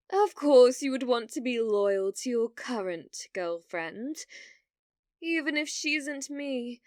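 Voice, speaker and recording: A woman's voice: sits high, darkish tone, no room sound, studio quality, heavily acted. A woman acting in an ASMR roleplay as a delusional, possessive young woman who calls herself a reincarnated demon lord, speaking in a theatrical, formal, lovestruck way.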